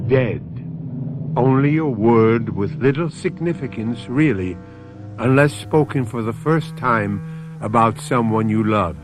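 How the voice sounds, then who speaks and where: A man's voice: mid-range voice, takes it slowly, over music. A man hosting a radio mystery drama, narrating in a solemn, theatrical, rhetorical manner. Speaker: host and narrator.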